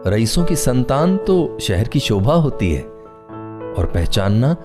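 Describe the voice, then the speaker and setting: An actor playing a courteous, wry recluse in a Hindi radio play, speaking in a measured, formal, gently ironic way. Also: male voice, medium pitch, very animated, music in the background. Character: courteous, wry, reclusive man living in a forest hut.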